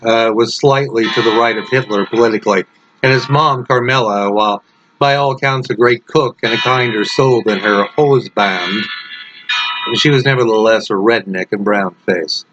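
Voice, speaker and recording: A man reading his own story aloud as a dramatic narration, with dark humour and wry, deliberate phrasing. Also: fairly low pitch, dull audio, noisy background. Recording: loud music under the voice. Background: prominent background music.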